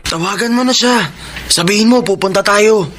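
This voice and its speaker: Guy playing nasty spoiled young son in a radio drama, high voice, sneery, noisy audio. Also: moderate pace, lightly acted. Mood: sly, casual. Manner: sneering, taunting. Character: menacing, insolent drug-addicted son.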